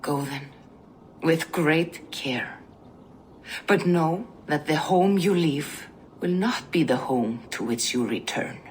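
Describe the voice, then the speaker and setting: An actress playing an ominous female seer in a video game, speaking slowly and intensely, sometimes dropping to a whisper. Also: sits low, slight background noise. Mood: grave. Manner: slow, intense prophetic delivery, dropping to whispers. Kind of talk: video game cutscene acting.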